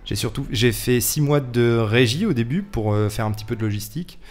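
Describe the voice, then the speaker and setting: A man with a medium-pitched voice talks as a guest on a radio show. His delivery is informal and conversational, like someone explaining his own line of work. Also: lively intonation, brisk. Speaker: film set decoration technician, radio guest. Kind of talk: casual interview chat. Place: radio studio.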